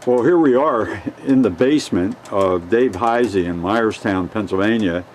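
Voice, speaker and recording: A man's voice: on the low side, normal speed, darkish tone. A man hosting an on-location interview in a home basement, earnest and folksy, stretching out his phrases. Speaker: video host interviewer. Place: home basement with train layout. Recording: faint background noise.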